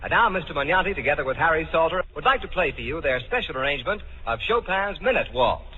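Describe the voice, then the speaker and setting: A man's voice: on the high side, animated, fast. A man announcing in a formal, polished, showy 1940s radio style. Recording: noisy phone-like 1940s radio recording.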